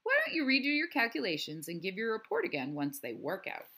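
A woman's voice: mid-range voice, huge pitch swings, brisk, sharp tone, a little hiss or hum. A woman voicing an encouraging male math teacher in a storybook, polite and instructive. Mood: approving.

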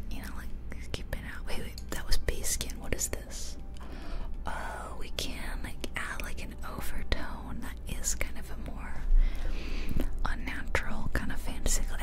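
Female ASMR creator: soft breathy whisper, close-miked, unhurried, casual thinking-aloud commentary over a game. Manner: unhurried, murmuring thoughts aloud. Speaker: ASMR video creator and gamer. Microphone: close ear-to-ear ASMR microphone.

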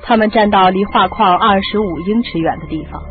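A woman's voice: medium pitch, a little fast. A woman reading scripted narration as the narrator of a radio mystery drama. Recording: muffled lo-fi radio drama, music behind.

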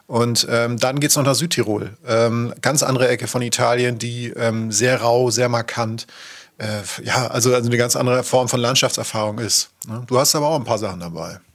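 A man's voice: mid-range voice, fairly even intonation, normal speed. A middle-aged man from northern Germany chatting casually as a podcast host. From Schleswig-Holstein, northern Germany. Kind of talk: casual chat.

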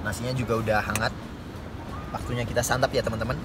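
A man's voice: mid-range voice, level tone, quick pace. Young man vlogging over noisy ambience. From Indonesia. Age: 20s. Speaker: solo hiking vlogger. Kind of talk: casual vlog chat to camera. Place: inside a small tent at a mountain campsite. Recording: clear background noise, outdoor ambience.

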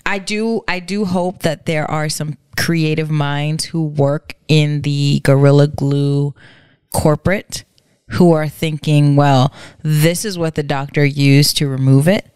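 A young woman co-hosting a casual home podcast, chatty and animated, talking into a desk mic. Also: low-pitched, slow, clean tone, dry recording, studio quality. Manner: chatty, laughs often, animated. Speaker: podcast co-host. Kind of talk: casual chat. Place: home living room by a staircase. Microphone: studio mic on a desk stand, headphones on.

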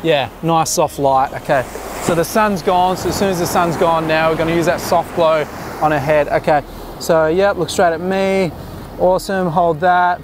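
A man in his thirties directing a beach photo shoot, relaxed and chatty, with many restarts and fillers, recorded outdoors at the shore. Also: a little high, normal speed, background noise. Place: open sandy beach at sunset, outdoors. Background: music.

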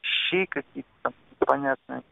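A man's voice: mid-range voice, normal speed, creaky, pushed and bright, no echo. A man, a soldier, chatting casually on a lo-fi intercepted phone call.

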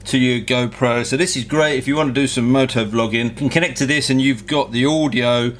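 A man's voice: mid-range voice, fairly even intonation, fairly quick, fairly bright tone, a little hiss or hum. A man giving a hands-on product review in a practical, matter-of-fact, explanatory way. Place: indoor motorcycle workshop bench.

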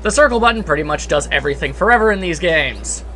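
A man's voice: fairly high pitch, animated, fast, pushed and bright, slight background noise, low-quality recording. A man doing casual, jokey let's play commentary in a sarcastic, mock-grandiose manner. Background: game music.

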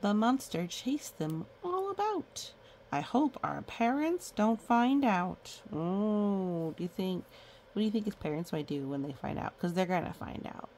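A woman storyteller reads to children at an unhurried pace, with a noticeably creaky edge to her voice. She is playful, dropping in little comments and counting in a sing-song way. There is some background noise on the recording. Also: mid-range voice, very animated, a little mellow. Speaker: children's book read-aloud storyteller. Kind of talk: picture book read-aloud with chatty asides to children.

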